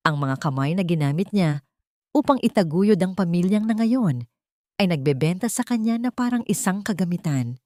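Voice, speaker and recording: A woman with a lowish voice tells a dramatic story in slow, measured, somber narration. The sound is close and dry, with no room around it. She reads from a script in a storytelling way. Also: animated, darkish tone, dry recording.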